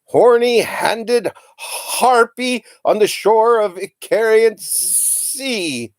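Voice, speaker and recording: A man's voice: high-pitched, very slow, no room sound, pitched above the performer's own voice. A man voicing a wild, exuberant eccentric uncle who bellows and rants theatrically in a storybook reading. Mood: frenzied howling.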